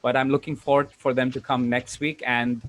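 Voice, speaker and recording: A man from Hong Kong speaks to an online group like a coach sharing a testimonial. His voice sits at a medium pitch, and he comes across as earnest and enthusiastic. There is some faint noise behind him on the call. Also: little pitch movement, a little fast. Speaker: public speaking trainer and coach. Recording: noisy online call, uneven level.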